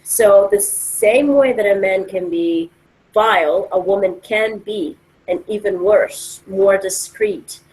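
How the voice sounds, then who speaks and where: A woman's voice: medium pitch, slow, a little mellow, poor audio. A woman speaking emphatically and argumentatively as she gives her views in a casual discussion. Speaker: discussion participant.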